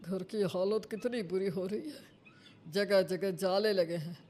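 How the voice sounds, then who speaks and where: A woman's voice: medium pitch, measured pace, mellow, lightly acted. A woman voicing a weary village man who grumbles and complains about his household. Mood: grumbling.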